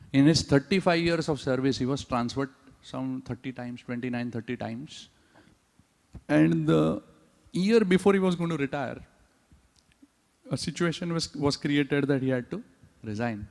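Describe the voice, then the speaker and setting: A man's voice: mid-range voice, darkish tone. Preaching man in a reverberant hall. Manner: engaging, asks the audience "huh?" often. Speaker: speaker at a temple class. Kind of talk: devotional class with questions. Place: temple hall.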